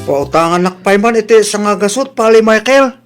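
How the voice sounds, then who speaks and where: Henpecked broke worker played by a man, comedy drama, very high male voice, pleading, Filipino accent. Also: expressive, moderate pace, music in the background.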